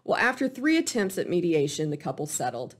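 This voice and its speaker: A woman telling a prepared case study in a measured, deliberate way, like a presenter walking an audience through an investigation. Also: fairly low pitch, very animated.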